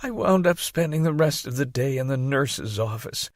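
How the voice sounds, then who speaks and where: A man reading an audiobook as narrator, telling a first-person story in an expressive, theatrical way on a close mic. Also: medium pitch, normal speed.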